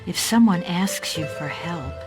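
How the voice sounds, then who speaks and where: A woman's voice: on the low side, lively intonation, a little slow, over music. A doting fairy-tale mother. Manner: gentle, pleading advice. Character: fussing, doting mother of a grown son. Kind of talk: game voice acting.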